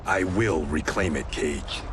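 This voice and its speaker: A man's voice: extremely low pitch, slow, background noise. A man playing a stern, proud swordsman with a criminal past in a video game, serious and earnest. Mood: irritated. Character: stern, proud swordsman who left organised crime. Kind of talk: cinematic video game drama acting.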